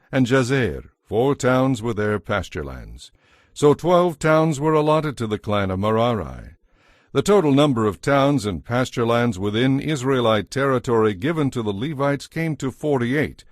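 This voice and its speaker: A man narrating an audio Bible from a script in a measured, deliberate, formal way. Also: medium pitch, no room sound, studio quality.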